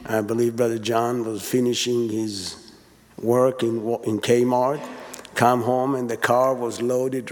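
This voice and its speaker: A man with an Israeli accent speaks at a memorial, feeling his way through his English with pauses and restarts. His mid-range voice rings in a church sanctuary with long reverb. The tone is plain and conversational. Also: medium pitch, darkish tone. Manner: halting, with fillers and restarts. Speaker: church member giving a eulogy. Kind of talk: unscripted memorial remarks. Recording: reverberant hall.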